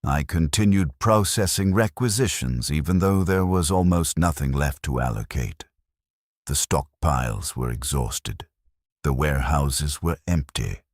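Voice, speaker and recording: A man narrating a scripted sci-fi war story as a weary, resigned military logistics officer, solemn and measured. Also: extremely low pitch, expressive, no echo, studio quality, full character voice. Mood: somber, resigned.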